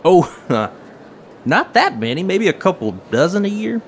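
A man's voice: high-pitched, very animated, moderate pace, slight background noise. Folksy small-town coin nerd guy, chatty, chuckly, voice swings way up and down, audio drama. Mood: jovial, chatty. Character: eager folksy small-town coin and metal-detecting enthusiast man.

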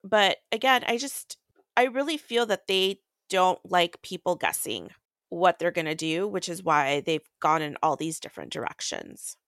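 A woman's voice: fairly low pitch, normal speed, a bit bright, no echo, clean studio sound. A woman co-hosting a podcast, casually discussing and recapping books. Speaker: podcast co-host.

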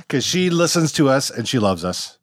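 A man's voice: fairly high pitch, sing-song, measured pace, slightly rough, no room sound, clean studio sound. A man co-hosting a podcast in casual banter, a bit congested, laughing often and cracking crude jokes. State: slightly congested. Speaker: podcast co-host.

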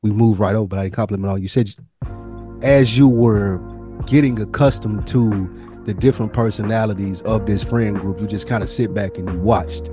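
A man's voice: fairly low pitch, fairly quick, music in the background. American man hosting, slangy and profane. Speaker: podcast host. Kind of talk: casual banter among friends.

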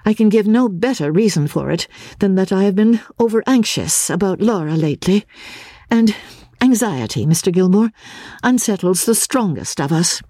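A woman voicing a resolute but anxious Victorian gentlewoman in a dramatic audiobook reading, earnest and vehement. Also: medium pitch, animated, normal speed, no room sound, studio quality, voice pushed up, natural voice. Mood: anxious, decisive.

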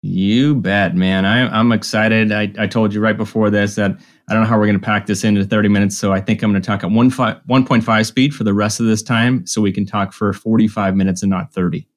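Man, podcast host, low voice, friendly, enthusiastic, quick asides. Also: fairly even intonation. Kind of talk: casual interview hosting.

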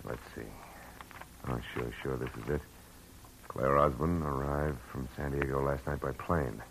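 A hard-boiled private eye with a very low, gravelly-dark voice and clipped, tough delivery. It comes from an old radio broadcast with faint hiss under it. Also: male voice, fairly even intonation, a little slow, full character voice. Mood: muttering. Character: hard-boiled male private detective, tough and world-weary. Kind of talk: 1940s radio acting.

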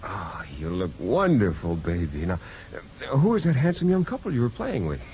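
Eager, fond wealthy father, 1950s radio drama, very varied intonation, clear background noise. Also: fairly low pitch, huge pitch swings, moderate pace, a little mellow. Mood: fond delight. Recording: noisy muffled 1950s radio recording.

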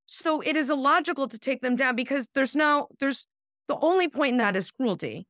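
An emphatic, indignant woman argues her point in a casual political chat and swears for emphasis. Her pitch swings widely as she talks. The recording is close and dry. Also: lively intonation, brisk, fairly bright tone, dry recording. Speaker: guest commentator.

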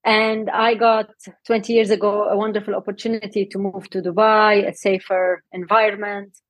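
Lebanese-born woman, middle aged, kinda breathy, giggly interview guest, dry sound. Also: mid-range voice, flat delivery, normal speed, no room sound, rough recording. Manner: warm, laughs often. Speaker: guest, health coach. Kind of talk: casual interview talk.

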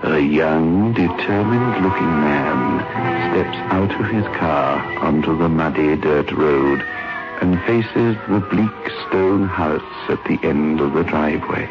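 An actor playing a sinister, teasing radio horror host who talks in a theatrical, menacing way. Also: male voice, extremely low pitch, slow. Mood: ominous narration. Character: sinister, teasing male horror host, welcoming listeners with relish. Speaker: horror show host and narrator. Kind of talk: old-time radio horror narration. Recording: dull vintage radio recording, loud music behind.

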